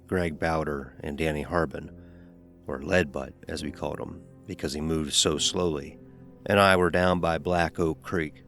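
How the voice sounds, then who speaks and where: An actor playing a grown man telling a first-person horror story about his boyhood. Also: a bass voice. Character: grown man recalling a frightening boyhood summer. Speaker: narrator.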